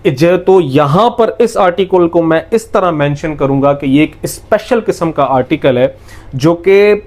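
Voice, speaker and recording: A man's voice: a little high, animated, a little slow, a little mellow. A man with a Pakistani accent giving an explanatory lecture, didactic and step by step, restating points with emphasis and mixing in English legal terms. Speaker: law lecturer.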